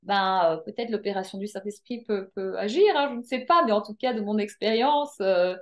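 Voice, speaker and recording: Lively woman chatting as guest. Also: medium pitch, moderate pace. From Belgium. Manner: lively, emphatic, frequent hesitations and filler words. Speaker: podcast guest, coach. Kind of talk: casual interview chat.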